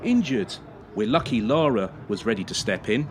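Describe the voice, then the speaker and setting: A man's voice: fairly low pitch, animated, moderate pace, a little hiss or hum, close to an everyday voice. A man playing a stern gymnastics coach in a radio play, speaking in a clipped, authoritative manner. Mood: grave, businesslike. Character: stern male gymnastics team coach delivering grave news.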